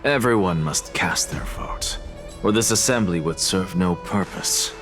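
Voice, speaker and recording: An actor playing a proud, cynical and defiant scholar in a video game, speaking in a measured, erudite and condescending way. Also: male voice, sits low. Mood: dry, weary. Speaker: scholar and professor character. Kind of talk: dramatic video game voice acting. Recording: music behind the voice.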